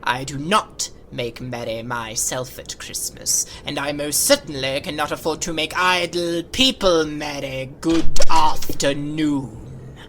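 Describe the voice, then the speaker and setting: A woman's voice: sits low, slow pace, hardly any pauses, fairly bright tone, a little hiss or hum. A woman voicing a bitter, miserly old man who sneers at everyone in a harsh half-whisper. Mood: contemptuous. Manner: sneering, clipped.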